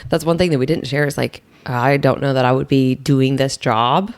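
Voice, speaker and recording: A woman's voice: rumbling low, steady tone, moderate pace, smooth, no room sound. A woman with a Chicago accent co-hosting a health podcast, rambling in an easygoing way and laughing often. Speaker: podcast co-host, health coach. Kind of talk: casual podcast chat.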